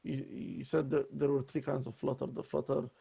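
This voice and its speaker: A man in a voice lesson with a raspy voice, talking in an apologetic, halting way with many restarts. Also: mid-range voice, level tone, mellow. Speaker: voice student. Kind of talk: voice lesson conversation.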